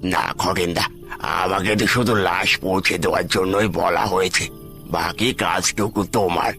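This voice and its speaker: A man's voice: sits low, steady tone, unhurried, pushed and bright, voice pushed down, full character voice. A man voicing a brusque, matter-of-fact village van driver in a horror cartoon story. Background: music.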